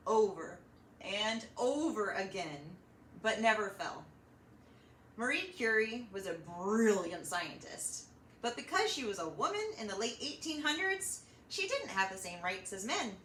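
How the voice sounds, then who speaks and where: A woman hosting a children's storytime, reading and chatting in an animated, encouraging way straight to young listeners. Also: fairly high pitch. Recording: roomy echo, slight background noise.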